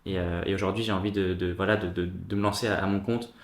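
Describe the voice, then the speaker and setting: A man's voice: deep voice, fairly even intonation, fast talker. A man hosting a casual round-table chat, hesitant, with many fillers and restarts. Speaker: host. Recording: echoey room.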